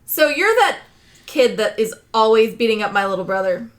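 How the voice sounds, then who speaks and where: Female tabletop player, somewhat high voice with lively swings, informal and playful. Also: moderate pace. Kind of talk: casual in-character game banter.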